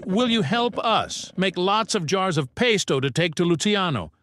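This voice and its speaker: A man's voice: sits high, expressive, moderate pace, fairly bright tone, no room sound, pitched above the performer's own voice. A man voicing a cute, eager young bear in a children's storybook, exclaiming with enthusiasm. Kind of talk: children's storybook read-aloud.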